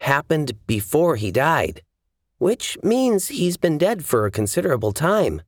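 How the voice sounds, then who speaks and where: A man's voice: on the high side, sing-song, a little slow, no room sound, studio quality, voice pushed up. A man voicing an observant, matter-of-fact woman with a clinical manner in an audiobook. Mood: grave.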